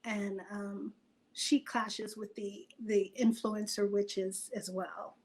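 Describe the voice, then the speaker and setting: A woman speaking as a guest writer in a casual interview, conversational and animated, thinking aloud as she goes. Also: mid-range voice, takes it slowly.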